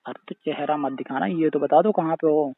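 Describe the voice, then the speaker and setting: A man's voice: a little high, fairly quick, a little hiss or hum. A man chatting casually on a recorded phone call, questioning and protesting defensively.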